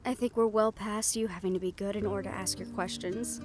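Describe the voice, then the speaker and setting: A woman's voice: mid-range voice, steady tone, a little fast, over music, lightly acted. A woman playing a young, wry and affectionate siren-banshee hybrid in an intimate roleplay audio drama, talking in a teasing, conversational way. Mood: tender, sincere. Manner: soft-hearted, teasing, conversational storytelling.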